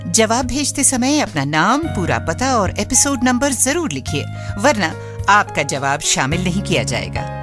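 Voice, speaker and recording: A woman's voice: mid-range voice, big pitch swings, measured pace. A woman reading a scripted radio announcement. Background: music.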